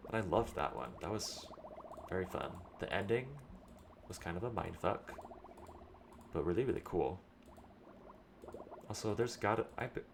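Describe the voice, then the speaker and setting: Young man, deep voice, rambling let's-play chat, noisy background. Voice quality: low voice. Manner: rambling, chatty, thinks aloud. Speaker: streamer.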